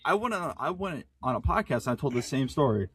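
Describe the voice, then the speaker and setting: A man's voice: medium pitch, sing-song, fast, a crowd in the background. A man in his late teens chatting casually on a podcast, telling anecdotes in loose, slangy phrasing. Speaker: podcast co-host. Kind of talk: casual chat, personal anecdotes.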